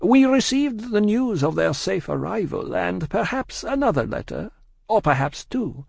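A man voicing a cold, composed French tavern-keeper's wife in an audiobook, speaking in measured, curt phrases. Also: high voice, big pitch swings, vocal fry, breathy edge, no room sound, clean studio sound, pitched above the performer's own voice, full character voice. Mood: cool, probing.

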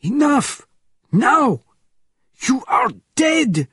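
Old French killer, played by a male narrator: weary, grave, measured, heavily rasped voice, storybook thriller. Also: medium pitch, a little mellow, no echo, clean studio sound, heavily acted. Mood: furious screaming. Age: older.

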